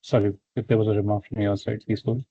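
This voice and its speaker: Flat, low-voiced analyst over the phone. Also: male voice, rapid-fire, no room sound. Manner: hesitant, polite, roundabout phrasing. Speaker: financial analyst asking questions. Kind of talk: earnings call questioning. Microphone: phone line. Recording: thin phone line, very dry.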